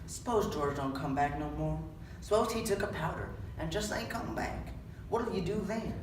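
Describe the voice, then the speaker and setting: A man's voice: high-pitched, normal speed, voice pushed up, lightly acted. A young man reads the part of a lonely, taunting stable hand in readers' theatre. His speech is rustic and needling. He is heard at a distance in an echoey room with faint noise. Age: young adult. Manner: rural American dialect, read aloud with needling persistence. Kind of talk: readers' theatre script reading.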